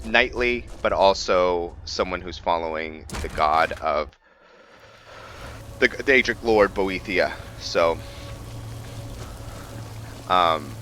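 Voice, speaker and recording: A man with a low, bright voice talks through his roleplay game at an unhurried pace. He thinks aloud in a casual way, hesitating often, with faint music behind him. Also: slight background noise. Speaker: gaming video host. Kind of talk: casual roleplay gameplay commentary.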